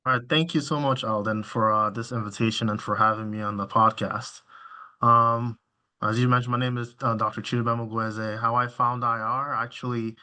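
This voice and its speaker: A man in his thirties from Nigeria, a physician giving informal interview answers in a halting, colloquial way full of fillers. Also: medium pitch, level tone, pushed and bright. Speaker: physician, podcast guest.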